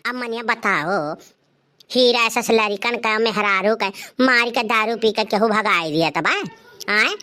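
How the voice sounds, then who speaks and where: A woman's voice: fairly high pitch, a little slow, sharp tone. A woman voicing an indignant, scolding village mother in a cartoon comedy, speaking emphatically with an Awadhi accent. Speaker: voice actor of a comedy cartoon channel. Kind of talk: dialect cartoon comedy acting.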